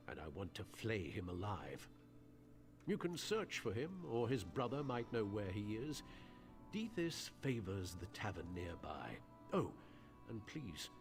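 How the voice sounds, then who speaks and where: A man's voice: lowish voice, very animated, unhurried, gravelly, full character voice. A man voicing a vengeful, haughty wizard in a video game, with a theatrical, pompous and indignant manner. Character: vengeful, haughty old wizard robbed by his apprentice. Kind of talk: video game character acting. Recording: music behind the voice.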